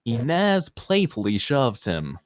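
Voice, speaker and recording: A man's voice: mid-range voice, wildly swooping pitch, slow pace, no room sound, studio quality. A man narrating screenplay stage directions at a table read in a dramatic, punchy way.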